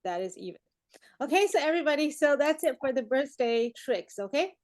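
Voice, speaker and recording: High-pitched playful woman teacher in her forties. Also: sing-song, a little fast, a little mellow, no room sound, studio quality. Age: 40s. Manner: playful, teasing, often chuckling. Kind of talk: interactive online class chat.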